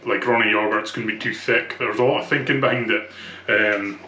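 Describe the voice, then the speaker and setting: A man's voice: fairly low pitch, steady tone, a little fast, pushed and bright, a little hiss or hum. A man with a thick Scottish accent talking casually and candidly in a personal vlog, with hesitant, conversational phrasing. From Scotland. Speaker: vlogger. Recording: echoey room.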